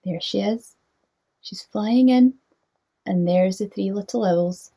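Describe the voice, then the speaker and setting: A woman narrating a children's storybook in a gentle manner, inviting young listeners to join in. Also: mid-range voice, slow, slightly rough.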